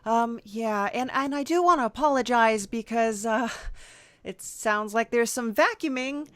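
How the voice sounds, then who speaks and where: Female podcast host, unhurried and hesitant, sympathetic, playful interview style, very dry recording. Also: a little high, fairly bright tone, no room sound. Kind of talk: casual, playful comedy interview.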